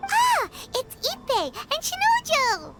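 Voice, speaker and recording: A woman voicing a tiny, chirpy, bubbly female companion creature in a video game, over loud music. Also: very high voice, wildly swooping pitch, slow, full character voice. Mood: cheerful. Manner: bubbly, exclaiming.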